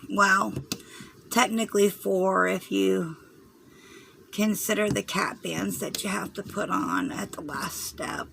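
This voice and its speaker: A hoarse woman with a Southern US accent, rambling through a craft tutorial and often clearing her throat as she fights a cold. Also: medium pitch, fairly even intonation, takes it slowly, background noise. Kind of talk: chatty loom tutorial.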